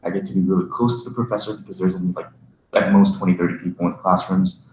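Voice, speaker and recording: Young man in a roomy echoey space. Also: deep voice. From South Korea. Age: young adult. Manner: relaxed, halting with fillers. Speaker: student panelist. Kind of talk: casual panel chat. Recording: roomy with faint hiss.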